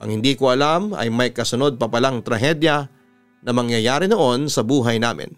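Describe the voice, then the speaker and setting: A man hosts a storytelling program with a smooth, resonant voice. He reads listeners' letters earnestly and with drama, like a practised radio announcer. Soft music plays beneath him. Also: mid-range voice, normal speed. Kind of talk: dramatic letter reading and heartfelt narration. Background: music under the voice.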